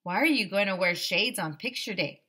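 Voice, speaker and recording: A woman's voice: medium pitch, expressive, normal speed, sharp tone, slightly rough. A woman voicing a cocky, silly young schoolboy who tells his own story in a blunt, teasing way. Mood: bluffing.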